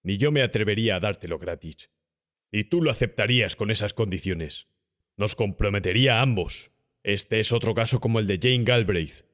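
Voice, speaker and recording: A man voicing a cynical, calm, domineering young gentleman body snatcher who talks persuasively and condescendingly in an audiobook horror story. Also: on the low side, moderate pace, voice pushed up, lightly acted. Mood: brusque, calculating. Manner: smooth-talking, persuasive, condescending.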